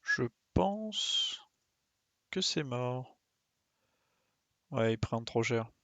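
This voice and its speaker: Guy streaming a game, kinda croaky, mumbles reactions to himself, very dry sound. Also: medium pitch, animated, very slow, bright, forceful tone, no echo. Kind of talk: casual live gameplay commentary.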